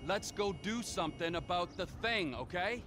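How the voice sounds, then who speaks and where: A man's voice: high-pitched, animated, moderate pace, over music. A man playing a crude, mocking, volatile middle-aged criminal in a video game cutscene. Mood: manic eagerness. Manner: erratic, sneering, profane.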